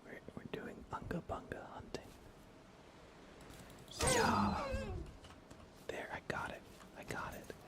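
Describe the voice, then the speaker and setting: A man speaking in a soft, breathy whisper, giving unhurried, gently playful ASMR commentary while he plays a video game for viewers. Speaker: livestreamer playing a video game.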